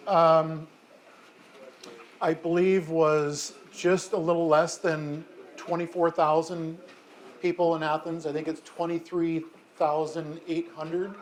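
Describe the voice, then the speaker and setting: A man's voice: a little high, a little hiss or hum. An American man in his fifties, a mayor, answering a classroom of children's questions in a friendly, chatty way with frequent ums and self-corrections. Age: 50s. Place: elementary school classroom.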